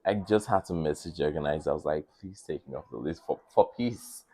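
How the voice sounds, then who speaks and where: A host leads a relaxed interview show in a very deep, low voice. The host talks at length, tells stories and laughs often along the way. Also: fast, slight background noise. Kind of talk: casual interview chat.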